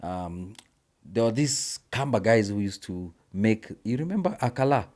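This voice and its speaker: A Kenyan man who is a guest on a podcast, telling childhood stories in an animated way with many pauses and fillers. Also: lowish voice. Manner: animated storytelling, hesitant with many fillers and restarts. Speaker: guest musician. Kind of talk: casual interview chat.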